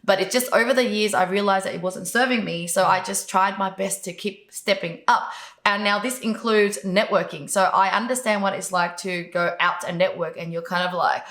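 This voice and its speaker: A woman's voice: mid-range voice, fairly even intonation, normal speed, a bit bright, roomy sound. A middle-aged woman from Vietnam, the show's host, answering interview questions in a chatty way and laughing now and then. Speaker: host, business coach.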